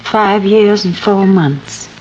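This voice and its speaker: A woman playing a genteel middle-aged mother in an old radio drama. She talks very slowly, polite and wistful, on a muffled vintage recording with faint noise. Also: medium pitch, darkish tone, natural voice. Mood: proud, precise.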